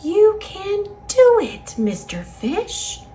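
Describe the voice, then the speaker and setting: A woman voicing a gentle, sweet female sea creature with a soft, whispery, coaxing voice in a storybook. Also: very high voice, wildly swooping pitch, extremely slow, a little hiss or hum, pitched above the performer's own voice, exaggerated voice. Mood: encouraging. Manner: coaxing, encouraging.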